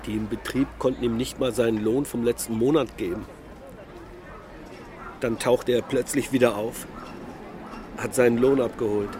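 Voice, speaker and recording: Male actor as a middle-aged father, melancholic, reflective, short halting sentences, average pitch, naturalistic radio play, loud street ambience. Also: steady tone, natural voice. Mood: musing, unsettled. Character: melancholic middle-aged East German husband and father, brooding. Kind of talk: naturalistic radio drama dialogue. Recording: loud urban traffic ambience.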